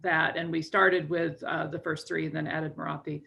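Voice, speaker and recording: Gravelly low-voiced woman from a library. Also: level tone, normal speed, sharp tone. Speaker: library representative. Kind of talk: casual meeting talk. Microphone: video call.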